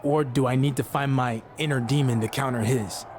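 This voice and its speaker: An earnest, determined male champion voiced by a man in a wrestling game cutscene. His voice sits at a middling pitch, with clear ambient noise around it. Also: steady tone, fairly quick, a little mellow, close to an everyday voice. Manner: earnest, questioning. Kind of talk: video game cutscene dialogue.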